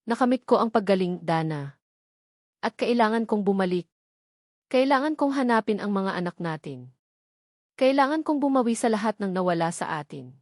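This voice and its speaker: A woman's voice: medium pitch, animated, moderate pace, long pauses, no room sound, studio quality, lightly acted. A woman narrator voicing a remorseful man who earnestly confesses to his lost love in an audio romance story. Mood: resolute.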